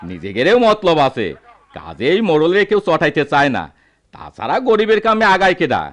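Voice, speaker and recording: A man's voice: fairly high pitch, wildly swooping pitch, brisk. A man acting an anguished, poor village father in a radio drama, lamenting in a rural Bangladeshi accent. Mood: bitter, resigned. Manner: emotional, lamenting rustic speech.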